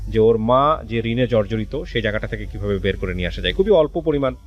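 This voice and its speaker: A man with a Bangladeshi accent hosting a radio show, speaking in a measured way with hesitant pauses as he interviews and addresses listeners. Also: lowish voice, animated, dull audio. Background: music under the voice.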